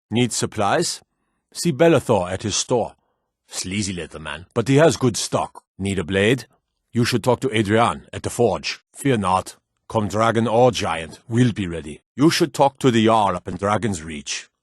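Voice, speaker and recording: A world-weary town guard from a fantasy video game. His voice sits low, with a slightly rough edge and a dry, close sound. He is stern and sparing with words, gruff in how he addresses people. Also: dry recording. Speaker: game character voice.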